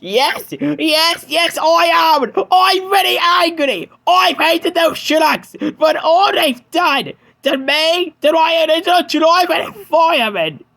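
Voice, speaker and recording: Guy voicing a touchy cartoon steam engine, high and shaky, voice jumps all over the place. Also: lively intonation, slow, long pauses, heavily acted. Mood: furious. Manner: shaky, high-strung, bursts into yelling. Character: proud red male steam engine, touchy and hurt. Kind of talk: fan-made cartoon dub.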